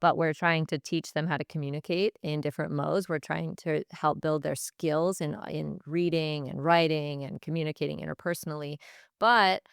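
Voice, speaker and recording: A woman giving thoughtful interview answers as a teacher, in a reflective, measured way, pausing to choose her words. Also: deep voice, steady tone, gravelly, smooth, no room sound, clean studio sound. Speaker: Spanish teacher, podcast guest.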